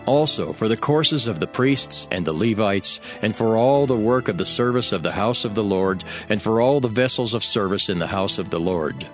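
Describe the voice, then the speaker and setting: A male narrator reads scripture in a lowish voice. His phrasing is formal and deliberate, as in a scripted audio Bible. Faint music runs underneath. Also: lively intonation. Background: soft background music.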